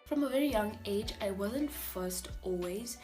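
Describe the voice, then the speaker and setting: A South African woman in her twenties, a native Afrikaans speaker, telling her student story in a chatty, animated way with breathy asides. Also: mid-range voice, measured pace, music in the background. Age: 20s. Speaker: vlogger, university student. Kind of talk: candid personal storytelling vlog.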